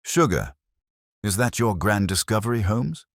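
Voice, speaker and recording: A man voicing a gruff, sceptical male police inspector who talks bluntly and mockingly in an audiobook detective story. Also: on the low side, animated, measured pace, no echo, studio quality, voice pushed up. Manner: blunt, scoffing.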